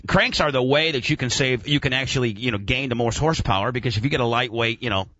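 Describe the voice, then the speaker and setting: A man's voice: mid-range voice, quick pace, bright, forceful tone, no room sound. A man hosting a casual radio show about auto racing, talking in a slangy, informal and opinionated way.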